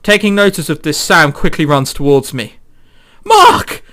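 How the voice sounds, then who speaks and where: A man's voice: on the high side, a little fast, fairly bright tone. A man who is a let's player reading a visual novel aloud dramatically, with exclamatory, sweary joking commentary.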